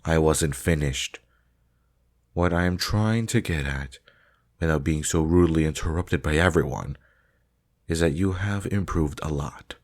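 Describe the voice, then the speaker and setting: A man's voice: rumbling low, a little slow, pauses often. A man playing a stern, haughty vampire combat master in a roleplay audio drama, formal, blunt and commanding.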